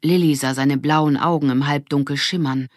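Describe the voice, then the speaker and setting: Low-pitched expressive woman narrator. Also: moderate pace, dry recording, clean studio sound. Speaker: audiobook narrator. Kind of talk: scripted children's story narration.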